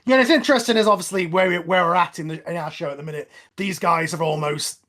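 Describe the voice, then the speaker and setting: Higher-pitched man chatting casually. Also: quick pace, a bit bright. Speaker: writer and co-host of a cast commentary. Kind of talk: casual watch-along commentary chat.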